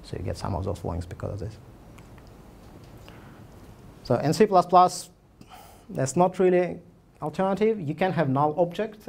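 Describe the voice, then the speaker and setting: A man's voice: medium pitch, creaky, darkish tone, breathy edge. A man in his thirties giving a conference talk with slides, speaking casually with many fillers and restarts. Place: meetup room, indoors.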